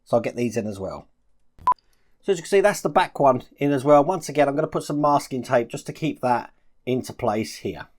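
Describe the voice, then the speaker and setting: A man's voice: mid-range voice, expressive, brisk, noisy background. A man chatting casually through a step-by-step build tutorial, explaining each move as he works. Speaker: host of a model-building channel.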